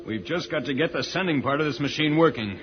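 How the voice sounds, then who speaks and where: An actor playing an earnest, level-headed space hero, decisive and explanatory, in an old-time radio serial. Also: male voice, fairly high pitch, quick pace, noisy background, lightly acted. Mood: urgent, worried. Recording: dull old radio recording with music.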